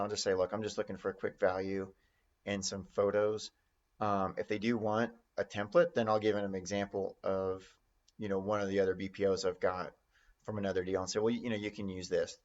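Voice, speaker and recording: A man's voice: fairly low pitch, muffled recording. A man giving informal, conversational instruction as an investing teacher. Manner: conversational, role-plays example phone calls. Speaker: real estate note investing instructor.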